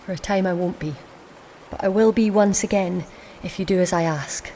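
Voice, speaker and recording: An actress in an audio drama playing a defiant, scheming young goddess, haughty and intense. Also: female voice, fairly low pitch, normal speed, mellow, a little hiss or hum, lightly acted. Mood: urgent, earnest. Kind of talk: audio drama acting with first-person narration.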